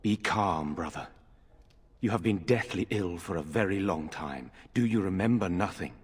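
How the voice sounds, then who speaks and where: Low-voiced earnest nobleman. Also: expressive, normal speed, slightly rough. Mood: gentle, solemn. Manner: formal, earnest delivery. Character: earnest, concerned nobleman, younger brother of a lord. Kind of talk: dramatic video game voice acting.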